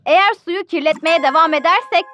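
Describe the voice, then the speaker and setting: Eager, very high-pitched cartoon toddler girl. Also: a little fast, a bit bright, muffled recording. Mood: curious, excited. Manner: childlike, eager, exclaiming. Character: excitable, curious little toddler girls in underwater cartoon. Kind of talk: children's cartoon dub. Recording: music behind the voice.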